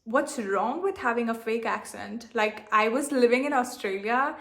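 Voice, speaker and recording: Young indian woman w/ a softened global-ish accent, bit high voice, candid vlog rant, lots of "like". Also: moderate pace, creaky. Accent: Indian, softened toward a global accent. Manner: candid and defiant, repeats herself, frequent fillers like "like". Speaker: self-love coach and channel host. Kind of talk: direct-to-camera vlog monologue.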